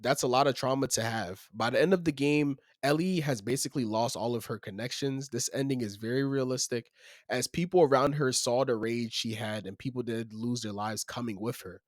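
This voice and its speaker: A young man with a voice gone a bit raspy from being sick, reading out his game review in a steady, earnest and opinionated tone. His pitch sits in the middle of the range for a man. The recording is close and dry, with no room sound. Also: a little fast, no room sound. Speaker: video game review host. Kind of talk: scripted game review read aloud.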